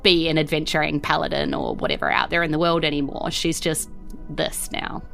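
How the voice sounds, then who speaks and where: A woman's voice: deep voice, steady tone, a little fast, vocal fry, fairly bright tone. Kiwi woman game master, chatty loose storytelling, lots of like n sort of, bit of music behind. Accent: New Zealand. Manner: loose, conversational, hedging with "like" and "sort of". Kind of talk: casual improvised tabletop game narration.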